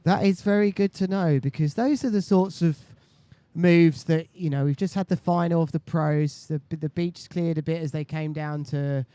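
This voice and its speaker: A man's voice: on the high side, steady tone, normal speed, mellow, clean tone, dry recording. A man commentating on a live sports stream in a chatty, conversational way. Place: indoor live stream booth by a beach.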